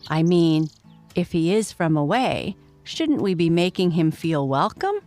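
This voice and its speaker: A woman's voice: lowish voice, big pitch swings, measured pace, warm tone, soft background music. A woman voicing a smart, kind female pet dog with a polite, cheerful manner in a children's story. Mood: kind, hopeful.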